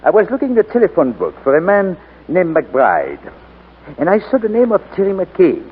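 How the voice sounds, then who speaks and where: An actor playing a suave, teasing gentleman in a 1940s romantic radio drama. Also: high voice, wildly swooping pitch, moderate pace, breathy edge, heavily acted. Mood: playful, courteous. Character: suave, teasing gentleman visiting an old love. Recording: scratchy, tinny 1940s radio broadcast.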